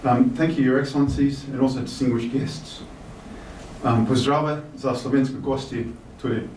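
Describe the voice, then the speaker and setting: A man in his fifties giving a speech, reading a message and adding personal remarks in a relaxed way with "um" fillers. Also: mid-range voice, moderate pace. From Australia. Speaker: guest speaker reading a minister's message. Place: large hotel reception hall. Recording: echoey hall from far off with faint noise.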